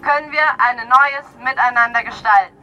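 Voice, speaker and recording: A middle-aged woman speaking earnestly and deliberately as an activist, delivering a prepared speech at an outdoor rally. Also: fairly high pitch, pushed and bright, highs cut off. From Cologne area, Germany. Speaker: climate activist giving a speech. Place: outdoors in an urban area. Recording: clear outdoor street and traffic noise.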